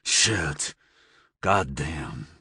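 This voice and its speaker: Volatile gangster boss, menacing, profane bluster, very animated pitch, dry close studio sound. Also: male voice, extremely slow, breathy edge, no echo, heavily acted. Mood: sullen, irritable. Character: furious, foul-mouthed American male gangster boss, volatile and swaggering.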